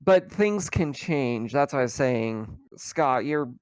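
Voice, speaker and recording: A man acting as game master, improvising narration for a tabletop roleplaying game in a halting, conversational way. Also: mid-range voice, lively intonation, a little hiss or hum.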